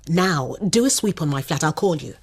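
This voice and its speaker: An actress in a radio drama playing a brisk, resourceful woman fraudster on the run. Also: deep voice, animated, a little hiss or hum. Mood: urgent, businesslike.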